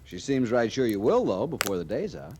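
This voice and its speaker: An actor playing an awkward, polite young cavalry lieutenant in a radio western, speaking hesitantly and earnestly. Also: male voice, medium pitch, big pitch swings, fairly quick, warm tone, close to an everyday voice. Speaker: junior cavalry officer. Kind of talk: old-time radio western acting. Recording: noisy old radio recording.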